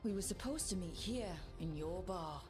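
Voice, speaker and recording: A woman's voice: fairly low pitch, animated, moderate pace, natural voice. An actress playing a confident, persuasive warrior woman in a video game, speaking firmly. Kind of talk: video game cutscene dialogue. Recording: loud game music behind.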